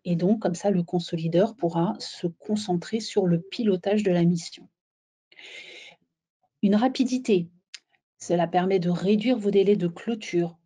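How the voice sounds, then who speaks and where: A woman presenting a software webinar in an explanatory, somewhat halting way, as if walking listeners through slides. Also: lowish voice, breathy edge.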